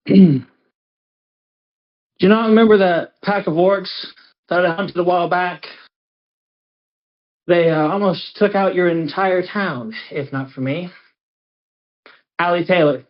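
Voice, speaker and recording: A woman's voice: fairly low pitch, slow pace, pauses often, highs cut off. A woman playing a confident, laconic monster-hunting adventurer in a tabletop game, terse and self-assured. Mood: boastful. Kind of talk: acting a character in a tabletop game.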